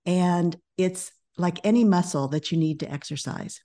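A middle-aged woman speaking as a podcast guest, a business coach who talks in a calm, measured way. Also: lowish voice. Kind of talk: podcast interview.

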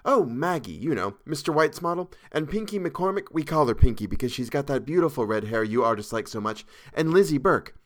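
A man's voice: mid-range voice, animated, fairly quick, hardly any pauses, voice pushed up, lightly acted. A man voicing a cheerful, chatty young woman, an artist's model, with gushing girlish chatter in a story reading. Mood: chatty, excited.

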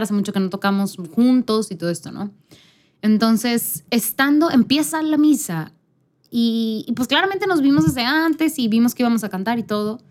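A woman's voice: on the high side, a little mellow. A woman podcast host giving a casual, personal faith talk, conversational and earnest, speaking directly to the listener. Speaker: podcast host and church singer.

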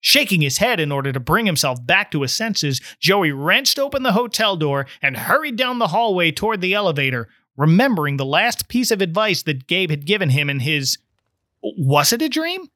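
A man's voice: sits high, very animated, moderate pace, pushed and bright, dry recording, studio quality. A man reading a story aloud as dramatic scripted narration. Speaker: guest story reader.

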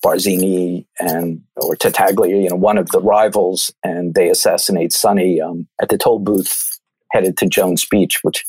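A man's voice: low voice, fairly even intonation, normal speed, a little mellow, husky, rough recording. A man from New York with an American accent, talking through films in a discursive, thoughtful, conversational way. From New York, United States. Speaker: film commentator. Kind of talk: conversational film analysis.

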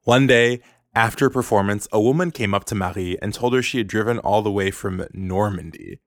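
A man hosting a podcast, reading a scripted story in a measured, clear way. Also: on the low side, no room sound, clean studio sound. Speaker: podcast host. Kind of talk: scripted narration.

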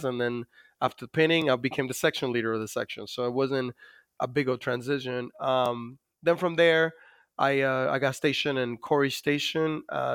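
Dominican guy in his 40s, smooth voice, lots of uh and you know, dry close sound. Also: medium pitch, fairly bright tone, dry recording. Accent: Dominican Spanish. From Dominican Republic. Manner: halting, many fillers. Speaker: guest, Navy master chief. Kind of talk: interview storytelling.

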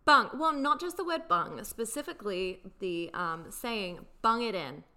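Woman, podcast co-host, bright voice, a bit high, laughs often. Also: lively intonation, unhurried. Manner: laughs often, explains patiently. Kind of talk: casual chat explaining slang.

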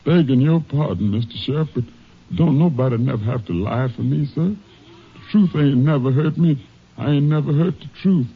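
Husky, gravelly old Southern farmhand. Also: male voice, medium pitch. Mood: solemn protest. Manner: halting, deferential. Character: humble, honest old farmhand, deferential and wounded. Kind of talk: 1950s radio drama acting. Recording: dull old radio broadcast.

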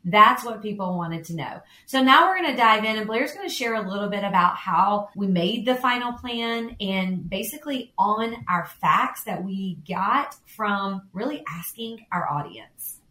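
A woman's voice: medium pitch, expressive, unhurried, hardly any pauses, sharp tone, echoey room. A woman co-hosting a podcast, giving chatty, encouraging advice. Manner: chatty, encouraging, laughs easily. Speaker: podcast co-host.

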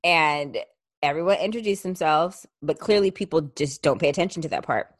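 A woman's voice: low voice, fairly quick, dry recording, studio quality. A woman in her thirties co-hosting a podcast, bantering and telling stories in a blunt, teasing, casual way. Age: 30s. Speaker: podcast co-host. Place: remote video call.

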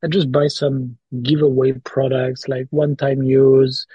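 A man's voice: mid-range voice, level tone, unhurried, warm tone, slightly rough, dry recording, muffled recording. A man hosting a podcast in casual chat, often repeating words and tagging his points with "right?". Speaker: podcast host.

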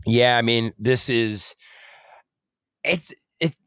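A man co-hosting a podcast in casual conversation, speaking in a halting, rambling way with frequent self-corrections. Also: mid-range voice, a bit bright, clear, resonant tone, dry recording, clean studio sound. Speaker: podcast co-host.

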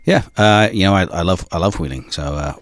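A man's voice: low voice, a little fast, dry recording, studio quality. A middle-aged man who grew up in South Africa tells a rambling off-road story on a podcast, with plenty of fillers. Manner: rambling, many fillers, laughs easily. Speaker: off-road shop owner, podcast guest. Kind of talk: casual storytelling chat.

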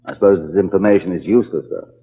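An actor playing a polite, uneasy wealthy gentleman sidekick in 1940s radio drama style. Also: deep voice, very fast, husky. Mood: uneasy, curious. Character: polite, uneasy wealthy male friend of a sleuth. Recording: thin, phone-like 1940s radio recording.

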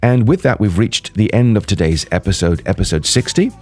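A hoarse man hosting a podcast, wrapping up a solo episode and previewing guests, talking rather quickly with frequent restarts. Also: on the low side, expressive, a little mellow. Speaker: podcast host. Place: at a sales conference.

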